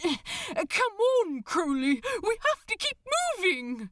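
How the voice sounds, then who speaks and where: A woman's voice: very high-pitched, wildly swooping pitch, takes it slowly, bright, forceful tone, no echo, clean studio sound, voice pushed up, full character voice. A woman voicing an urgent, fussy male angel in an audiobook, exclaiming and pleading insistently. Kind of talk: audiobook character acting.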